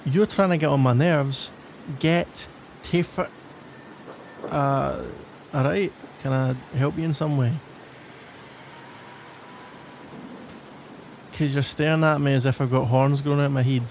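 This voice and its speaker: A man's voice: medium pitch, fairly even intonation, moderate pace, creaky, a little mellow, a little hiss or hum, natural voice. A man playing a grudgingly caring, sarcastic young Glaswegian in an exasperated, teasing ASMR roleplay monologue. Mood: irritated. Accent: Glaswegian Scottish.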